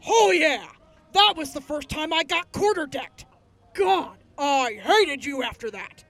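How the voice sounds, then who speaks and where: A woman's voice: high voice, lively intonation, measured pace, fairly bright tone. A woman playing a friendly soldier among old friends in a post-apocalyptic audio drama. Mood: amused reminiscing. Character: friendly female soldier briefing old friends after long absence. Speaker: voice actor.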